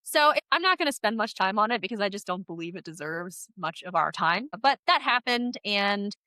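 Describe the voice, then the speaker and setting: A woman co-hosting a casual podcast chat, chatty and animated, sounding stuffy from a head cold. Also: mid-range voice, moderate pace, no echo. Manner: chatty, animated, laughs often. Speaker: podcast co-host.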